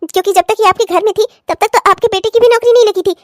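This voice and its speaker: A woman's voice: very high-pitched, fairly even intonation, racing, no echo, clean studio sound. A woman playing a righteous, stern in-law in a family melodrama, lecturing with emphatic sarcasm. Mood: indignant, sarcastic. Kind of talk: melodramatic family story acting.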